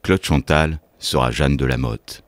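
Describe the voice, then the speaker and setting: A man's voice: rumbling low, a little slow. A man reading scripted narration as the presenter of a documentary. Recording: music behind the voice. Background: music.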